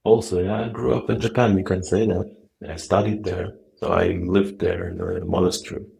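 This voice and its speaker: A middle-aged man from Moldova, a native Russian speaker, talking softly and haltingly with many fillers as a guest on a video call. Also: low voice, little pitch movement, vocal fry. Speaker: guest, Zen teacher. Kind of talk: relaxed interview talk. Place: dim wood-walled room.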